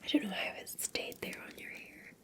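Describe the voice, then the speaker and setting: A Scottish woman speaking in a soft, breathy whisper, gently and soothingly chatting to the listener as a barista in an ASMR roleplay. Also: normal speed. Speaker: ASMR performer playing a cafe barista. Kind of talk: ASMR roleplay, unscripted-sounding chat to the listener.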